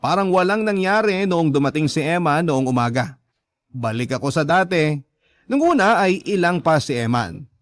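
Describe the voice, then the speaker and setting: A man's voice: fairly high pitch, animated, normal speed, no echo, clean studio sound. A man with a Filipino accent reading a first-person story as the narrator of a radio drama.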